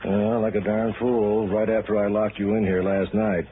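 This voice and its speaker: A man's voice: fairly low pitch, little pitch movement, moderate pace, natural voice. An actor playing a skeptical, long-suffering policeman in a 1960s radio noir drama, sardonic and colloquial. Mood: grudging. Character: skeptical, grumbling New York police officer, long-suffering pal. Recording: noisy, tinny old radio broadcast.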